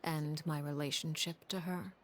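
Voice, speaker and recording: A woman voicing a commanding, composed leader in a video game, with a measured, formal and aloof manner. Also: low voice, steady tone, loud music behind the voice, lightly acted. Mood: calculating.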